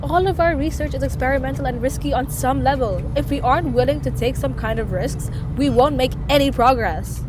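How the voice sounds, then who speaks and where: A woman's voice: high voice, fairly quick, few pauses, background noise, close to an everyday voice. A woman playing an earnest, defiant scientist who argues insistently with authority in a sci-fi audio drama. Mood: earnest, frustrated. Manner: indignant, articulate, insistent.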